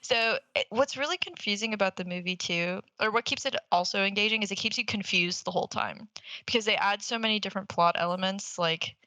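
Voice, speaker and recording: A woman's voice: mid-range voice, brisk, pushed and bright, no echo, low-quality recording. A woman co-hosting a podcast, casually rambling through a movie review in informal language. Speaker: podcast co-host.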